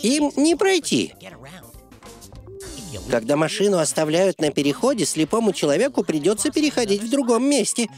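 A man voicing an expressive storyteller narrating a children's cartoon in an explanatory way. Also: high voice, normal speed, faint music behind.

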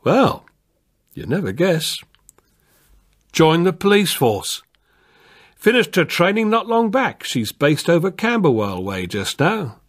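An actor playing a kindly veteran police constable in a radio drama, calm and plain-spoken with a husky voice. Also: male voice, on the high side, slow, pauses often, exaggerated voice. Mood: reflective. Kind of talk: British radio drama acting and narration.

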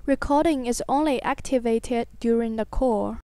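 A woman reading a scripted software tutorial in an instructional, step-by-step manner. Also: a little high, moderate pace, smooth, no room sound.

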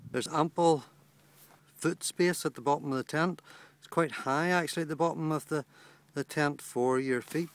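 A man's voice: fairly high pitch, moderate pace, a little hiss or hum. A man casually walking through the features of a small tent, outdoors on a lawn, filming on a handheld camera. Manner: plain, matter-of-fact, pointing out features. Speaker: outdoor gear reviewer. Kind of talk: casual product walkthrough. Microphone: handheld camera held by the speaker.

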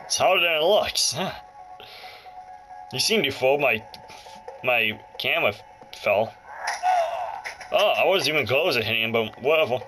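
A man's voice: medium pitch, lively intonation, moderate pace, long pauses, poor audio. A man giving casual, jokey let's play commentary, often muttering and exclaiming to himself as he plays. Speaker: gaming video host. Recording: loud game music over the voice. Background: handheld game music and sounds.

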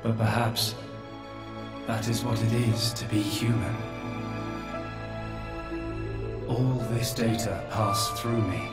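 An actor playing an ancient, world-weary godlike old man, solemn, measured and resigned in a video game cutscene. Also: fairly low pitch, steady tone, lightly acted. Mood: solemn, reflective. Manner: grave, measured, philosophical. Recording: roomy echo, distant, music behind.

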